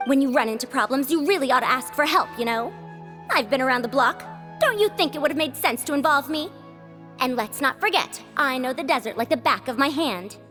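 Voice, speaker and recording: A strict, lecturing senior scholar. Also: female voice, high-pitched, expressive, brisk, fairly bright tone, soft background music. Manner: lecturing, reproachful, firm. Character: seasoned woman scholar, strict and reproachful.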